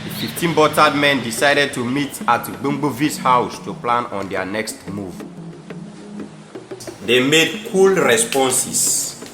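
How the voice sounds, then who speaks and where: A man's voice: mid-range voice, expressive, slow pace. A man with a Sierra Leonean accent narrating a scripted audio drama. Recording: echoey room. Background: music.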